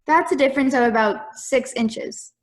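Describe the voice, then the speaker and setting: A woman's voice: high voice, moderate pace, close to an everyday voice. A woman playing a careful, doubtful juror who questions evidence in an amateur audio drama.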